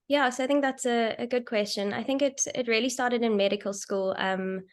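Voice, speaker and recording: A young South African woman and doctor speaking on a panel, earnest and steady, with frequent ums. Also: a little high, a little fast. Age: young adult. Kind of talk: panel discussion.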